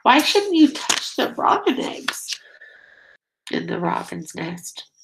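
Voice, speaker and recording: A woman's voice: on the high side, sing-song, slow pace, gravelly, background noise. A middle-aged woman reading a picture book aloud as a teacher, expressively, drawing out key words and prompting young listeners with questions. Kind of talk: children's read-aloud.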